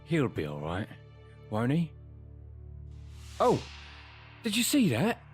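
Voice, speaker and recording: A man's voice: mid-range voice, wildly swooping pitch, slow, soft background music. An older man playing a worried, insistent grandfather in an audio drama. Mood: anxious.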